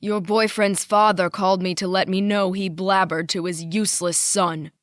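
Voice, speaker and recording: A woman's voice: medium pitch, level tone, normal speed, no echo, clean studio sound, close to an everyday voice. A woman voicing a bitter, stern middle-aged father who speaks in a hard, clipped, raised voice.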